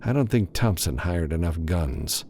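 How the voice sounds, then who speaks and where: A man's voice: sits low, lively intonation, measured pace, a little mellow, a little hiss or hum. A man voicing a weary, sardonic space cowboy loner in an audiobook, grumbling dryly. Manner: grumbling, dry.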